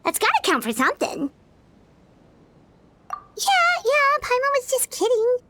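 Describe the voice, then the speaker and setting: A woman voicing a tiny, excitable, childlike fairy companion in a video game, with a squeaky voice. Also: unhurried, dry recording, exaggerated voice. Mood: flustered. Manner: refers to self in third person, exclamatory. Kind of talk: video game character dialogue.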